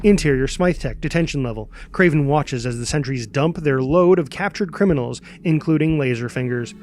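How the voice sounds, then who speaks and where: A man's voice: a little high, brisk, darkish tone. A man reading scripted screenplay narration and stage directions dramatically, voicing the sound effects himself.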